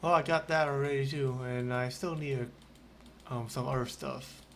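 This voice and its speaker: A man's voice: mid-range voice, fairly even intonation, normal speed, noisy background. A man streaming a video game and chatting casually, rambling with frequent restarts. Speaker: gaming streamer. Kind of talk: casual gameplay commentary. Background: loud game music.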